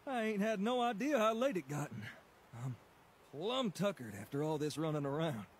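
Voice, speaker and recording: A man's voice: medium pitch, slight background noise, natural voice. A man voicing a clumsy, friendly puppet mailman with a Southern American accent and a folksy, stammering, rambling way of talking.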